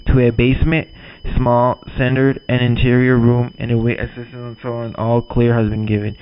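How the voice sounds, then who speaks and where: A dull, lo-fi recording of a man acting as a male emergency alert announcer. He has a mid-pitched voice and reads a scripted warning in a grave, formal, deliberate manner. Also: lightly acted. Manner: formal, deliberate, occasional stumbles over words. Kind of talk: scripted emergency broadcast reading. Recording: dull lo-fi audio.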